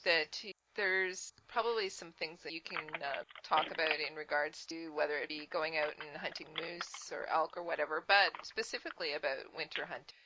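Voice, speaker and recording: A woman hosting a casual podcast chat, informal and animated as she steers the discussion. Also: deep voice, moderate pace, few pauses. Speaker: podcast host. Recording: muffled lo-fi audio with background noise.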